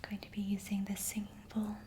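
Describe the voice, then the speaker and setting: A woman whispering softly and slowly as an ASMR host, guiding a relaxing session. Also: medium pitch, completely flat delivery, a little hiss or hum. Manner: soft whispering, slow and soothing.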